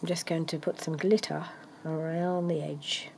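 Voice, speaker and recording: A woman's voice: deep voice, little pitch movement, normal speed, vocal fry, a little mellow, slight background noise. A woman, chatting casually about her crafting project, congested from a cold and rambling with many ums. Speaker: craft vlogger.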